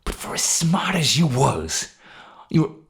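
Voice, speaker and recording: A man voicing a wounded, guilt-ridden robber in a dramatic story, bitter and grieving, with hoarse, folksy, sweary speech. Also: a little high, very animated, takes it slowly, a bit bright, pitched above the performer's own voice, exaggerated voice. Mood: wistful. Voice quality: hoarse, breathy at times. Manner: rough folksy talk, swearing, sighing, talking to a dead partner. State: pained, worn out.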